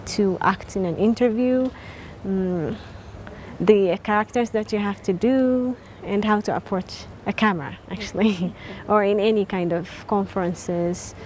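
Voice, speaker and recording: A woman's voice: mid-range voice, measured pace, darkish tone, slight background noise. A woman, a trainee scientist explaining in an interview what she learned, point by point. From Eritrea. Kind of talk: interview testimonial.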